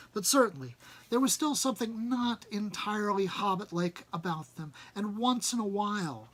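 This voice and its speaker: A man reading a storybook aloud as narrator, with expressive delivery that often drops softly at the ends of phrases. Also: high voice. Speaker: reader.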